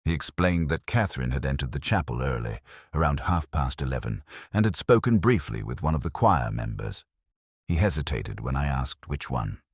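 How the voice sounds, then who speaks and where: A man narrating a scripted first-person detective story in a measured, solemn, literary manner. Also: a bass voice, animated, breathy edge, no room sound, clean studio sound.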